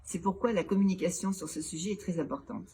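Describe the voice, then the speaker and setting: A woman with a fairly low voice speaks as a local elected official in a formal, prepared interview. Her delivery is measured and didactic, laying out points in order. Clear background noise sits behind her. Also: steady tone, mellow. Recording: clearly noisy background ambience.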